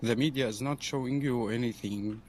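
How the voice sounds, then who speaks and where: Syrian male eyewitness, mid-pitched, pleading and repetitive testimony, slightly noisy amateur clip. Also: moderate pace, darkish tone. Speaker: eyewitness in a video appeal.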